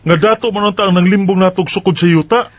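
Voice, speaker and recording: High-voiced indignant Filipino son, acted by a man. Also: fast, highs cut off. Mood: aggrieved. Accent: Filipino Bisaya. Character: indignant grown son of a rural landowning family. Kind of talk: Bisaya radio drama acting.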